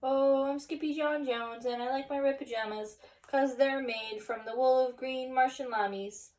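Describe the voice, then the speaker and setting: A woman's voice: high voice, fairly even intonation, normal speed, a little mellow, lightly acted. A woman voicing a playful, boastful little boy kitten in a storybook. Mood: playful singsong. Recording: distant from the mic.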